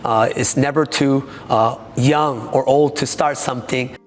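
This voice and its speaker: Korean-accented man, halting speech. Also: mid-range voice, steady tone, in a large hall, soft background music. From South Korea. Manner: halting, many uh fillers, nonnative grammar. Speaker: taekwondo master. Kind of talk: interview.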